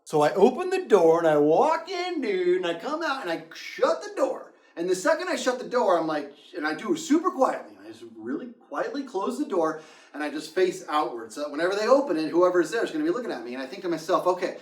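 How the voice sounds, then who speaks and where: A man telling a casual anecdote in a theatrical, animated way, acting out reactions as he goes. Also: high voice, fast talker, recorded from a distance. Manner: theatrical, acts out quotes and reactions. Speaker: storyteller, host of the show. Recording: roomy echo, off the mic.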